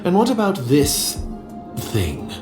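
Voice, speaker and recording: An actor playing a suspicious, secretive conspirator in a video game, speaking in a guarded, formal and disdainful way. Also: male voice, a little high, wildly swooping pitch, slow pace, reverberant, over music, exaggerated voice. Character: suspicious, condescending male conspirator, secretive and calculating. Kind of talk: dramatic video game voice acting.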